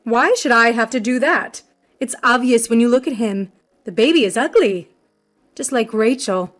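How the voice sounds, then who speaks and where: A woman's voice: fairly high pitch, moderate pace, fairly bright tone, close to an everyday voice. A woman voicing a spiteful, smug older mother-in-law in a story, with a haughty, accusing, emphatic delivery. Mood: smug contempt. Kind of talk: dramatized storytelling.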